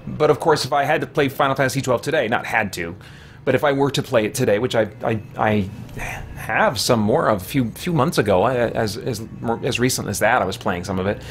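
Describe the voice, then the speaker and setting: A man in his thirties or forties chatting casually on a game livestream, thinking aloud in a halting way. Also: medium pitch, a little hiss or hum. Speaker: video game live streamer. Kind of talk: casual livestream chat while gaming. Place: small indoor room with shelves.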